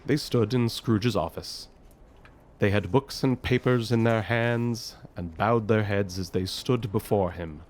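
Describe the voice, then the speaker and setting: A man's voice: fairly low pitch, measured pace, a little mellow, lightly acted. A man narrating a Victorian tale as the scripted storyteller of an audio drama. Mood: storytelling.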